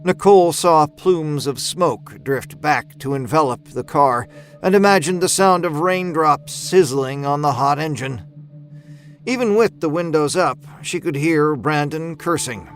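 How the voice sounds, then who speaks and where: A man's voice: a little high, unhurried. A man reading horror fiction as a scripted audiobook-style narration. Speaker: storyteller. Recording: music behind the voice.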